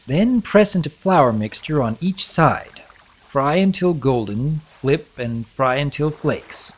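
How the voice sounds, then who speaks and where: Man voicing a slow, husky, flustered young pony. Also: a little high, expressive, voice pushed up. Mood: halting reading. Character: flustered, lovestruck young male pony baron.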